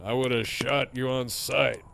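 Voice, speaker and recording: An actor playing a mocking, foul-mouthed middle-aged antagonist with a sardonic, needling drawl in an audio drama. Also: male voice, medium pitch, fairly even intonation, unhurried, gravelly, fairly bright tone, a little hiss or hum. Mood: taunting, amused.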